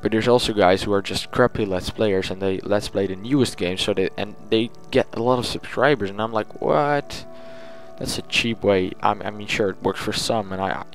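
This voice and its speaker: A man's voice: lowish voice, rough recording. A man talking casually in an audio log as a gaming channel host, chatty and thinking aloud.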